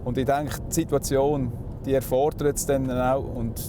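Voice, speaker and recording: A man with a Swiss German accent talks as a highway police officer in a medium-pitched voice. He is polite and matter-of-fact as he explains his work. Vehicle noise is clearly heard around him on location. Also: level tone, moderate pace. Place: on location by a motorway.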